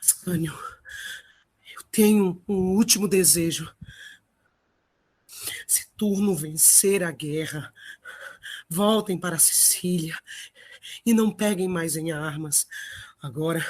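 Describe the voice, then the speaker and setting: A woman's voice: fairly low pitch, slow, pauses often, darkish tone. A woman playing a proud, vengeful epic hero in a solemn, declamatory audio drama style. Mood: weak, dying.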